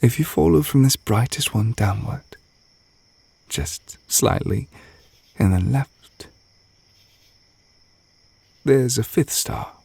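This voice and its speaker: A man acting a gentle, adoring witch boyfriend in an intimate ASMR roleplay, soft-spoken and tender with a light teasing manner. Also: medium pitch, animated, slow pace, creaky, long pauses, breathy edge, no room sound, exaggerated voice. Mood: gentle, reflective.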